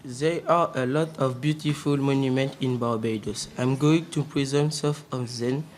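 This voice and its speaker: A man's voice: medium pitch, steady tone, moderate pace, loud music behind the voice. A teenage boy reading a short report aloud for a school radio show. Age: teens.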